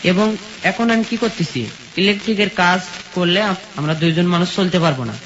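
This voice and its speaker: A man's voice: sits high, normal speed. A young man from rural Bangladesh telling his life story as a guest, in plain colloquial speech, quoting conversations as he goes. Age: young adult. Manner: plain colloquial village speech, recounting step by step. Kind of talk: personal storytelling in an interview, quoting dialogue. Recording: dull sound with steady sizzling noise.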